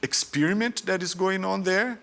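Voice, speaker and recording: A man speaking as a panelist at a conference, explaining at length with frequent pauses and fillers. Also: high voice, gravelly, a bit bright. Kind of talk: panel discussion remarks.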